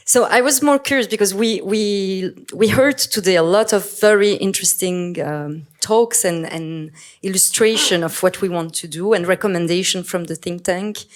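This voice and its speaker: A woman from Morocco whose first language is French, moderating a conference panel in English on a handheld microphone, talking with many hesitations and self-corrections and laughing often. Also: mid-range voice. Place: conference hall.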